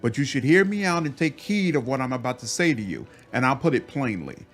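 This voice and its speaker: Blunt scolding spy, guy doing the voice, folksy game character, super animated, bit of music. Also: medium pitch, brisk, natural voice. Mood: urgent warning. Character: blunt, troubled female spy warning her commander. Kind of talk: video game dialogue read aloud.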